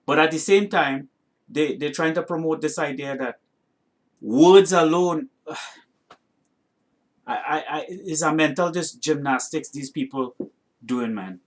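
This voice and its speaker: A man's voice: on the high side, unhurried, long pauses. A man with a North American accent tinged with Trinidadian, giving opinionated commentary as a video host in an emphatic, indignant way, often checking in with short tag questions. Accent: North American with some Trinidadian. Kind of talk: opinionated reaction commentary to camera.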